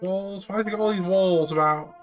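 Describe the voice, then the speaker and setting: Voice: mid-range voice, fairly quick, faint music behind. A game streamer giving casual live commentary, thinking aloud and swearing freely.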